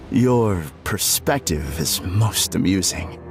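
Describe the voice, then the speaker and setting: A man voicing a calm, formal, smugly self-perfected villain in a dramatic video game. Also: on the low side, heavily acted. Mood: smugly amused. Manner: calm, polite, formal, condescending. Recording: music under the voice. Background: game music.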